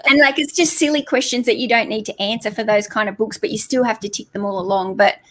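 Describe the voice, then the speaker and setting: A woman's voice: fairly high pitch, steady tone, quick pace, a bit bright. A woman with an Australian accent, a guest chatting casually on a video call, explaining things step by step with lots of fillers. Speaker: guest on a reselling live chat. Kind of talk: casual chat.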